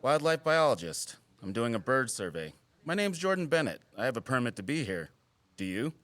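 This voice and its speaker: An actor playing a wry, guarded wildlife biologist in an audio drama, with teasing, dry sarcasm and an earnest streak. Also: male voice, medium pitch, sing-song, normal speed, a bit bright, no echo. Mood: matter-of-fact. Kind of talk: naturalistic audio drama acting.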